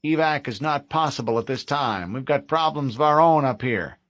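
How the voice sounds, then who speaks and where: A man reading an audiobook, voicing a composed male fleet officer on the radio who speaks in a formal, measured way. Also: mid-range voice, fairly bright tone, dry recording, highs cut off, pitched above the performer's own voice, lightly acted.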